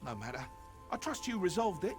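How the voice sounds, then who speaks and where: An actor playing a smug, theatrical high elf king in a fantasy video game, arch, droll and regal. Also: sing-song, fairly quick. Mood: smug amusement. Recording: game music behind the voice. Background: game music.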